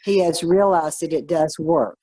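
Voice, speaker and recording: A woman chatting casually, telling a story in a quick, chatty way. Her voice sits low and sounds dark and mellow, recorded close and dry. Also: no echo. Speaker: guest in a wellness group chat. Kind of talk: casual chat.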